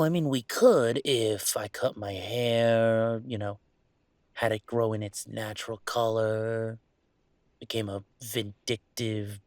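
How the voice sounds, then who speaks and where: A man playing a troubled young male werewolf in an intimate audio roleplay, confiding in a halting, sardonic, self-deprecating way. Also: fairly low pitch, darkish tone, dry recording. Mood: weary, frustrated.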